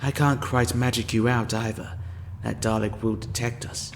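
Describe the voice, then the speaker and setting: A man's voice: mid-range voice, steady tone, creaky, noisy background, lightly acted. A man playing a scheming, self-important male time-meddler in a fan audio drama, speaking with sardonic impatience. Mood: conspiratorial. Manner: sardonic and impatient, slow deliberate delivery.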